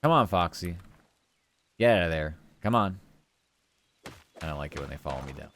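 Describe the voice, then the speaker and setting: A man's voice: low-pitched, lively intonation, moderate pace, a bit bright, slight background noise. A man livestreaming gameplay, chatting casually with viewers in a cozy, easygoing voice, musing aloud and trailing through his thoughts. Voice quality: comfy, cozy tone.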